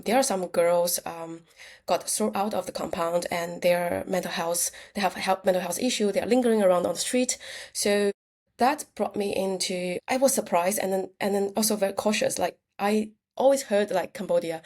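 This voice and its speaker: A woman guest with a Chinese accent and a fairly low voice for a woman. Her native language is Chinese, and she tells her account earnestly in plain phrasing. She sometimes restarts her sentences. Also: a little mellow. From China. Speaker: researcher and guest. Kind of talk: interview answers.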